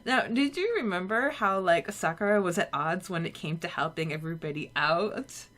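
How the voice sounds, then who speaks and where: A woman's voice: lowish voice, expressive, moderate pace, a bit bright. A woman co-hosting a podcast, chatting casually about a story chapter and laughing often. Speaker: podcast co-host. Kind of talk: casual book-club chat.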